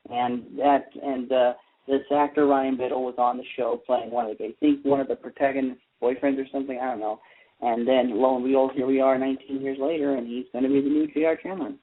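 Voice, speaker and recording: A man's voice: medium pitch, flat delivery, fast talker. A man hosting a casual radio talk show about TV, chatty and opinionated as he explains his views. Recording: tinny phone-like internet radio line.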